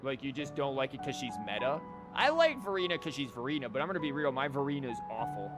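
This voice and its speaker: Male game streamer, mid-pitched, casual rambling chat with music behind him. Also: sing-song, fast. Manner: rambling, conversational.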